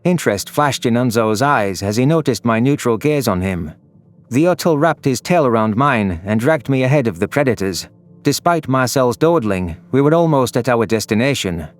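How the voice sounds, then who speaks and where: A man's voice: mid-range voice, expressive, normal speed. A man narrating a scripted first-person science fiction story. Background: background music.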